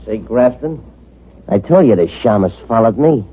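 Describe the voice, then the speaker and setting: Vicious gangster henchman, rasping, swooping pitch, insistent, menacing, old-time radio, tinny sound. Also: animated, normal speed. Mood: vengeful, threatening. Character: menacing, impatient, sadistic male killer henchman. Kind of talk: 1940s-50s radio crime drama acting.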